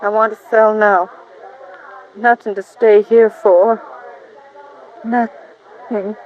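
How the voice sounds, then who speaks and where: An actress playing a weary, shaken but plucky country widow in a 1950s radio drama. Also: female voice, medium pitch, little pitch movement, slow, natural voice. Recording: muffled old radio broadcast.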